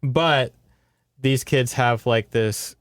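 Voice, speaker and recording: Smooth-voiced man, casual podcast storyteller. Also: medium pitch, extremely slow, no echo, clean studio sound. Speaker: podcast co-host retelling a book. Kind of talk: casual conversational book recap.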